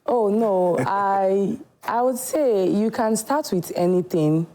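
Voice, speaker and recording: A woman from Nigeria, a shoemaker guest telling her story in a TV studio interview, earnest and unhurried. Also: medium pitch, mellow, a little hiss or hum, rough recording.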